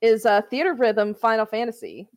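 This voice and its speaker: A woman's voice: fairly high pitch, animated, normal speed, a loud crowd. A woman in her thirties co-hosting a podcast, chatting in an upbeat way about upcoming games. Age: 30s. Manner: chatty, upbeat, laughs often. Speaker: podcast co-host. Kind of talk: casual chat.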